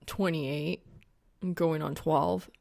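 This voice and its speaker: Wry young male gamer, audiobook fiction, played by a woman, lowish fry-laden voice, slangy and deadpan. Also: drawn-out words, exaggerated voice. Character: young man gamer, wry, exasperated, awkward crush.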